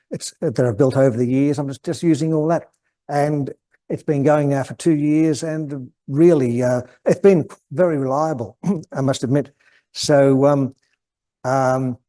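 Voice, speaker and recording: An Australian man giving an informal technical presentation, hesitant and halting as he thinks aloud. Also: medium pitch, mellow. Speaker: guest presenter at an amateur radio club.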